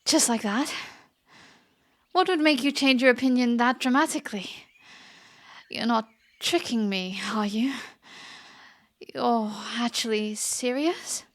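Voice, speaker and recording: A woman's voice: fairly high pitch, unhurried, pauses often. A woman playing a spirited, defiant young princess in a fantasy audio drama, easily flustered, with an indignant, hesitant delivery. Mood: incredulous.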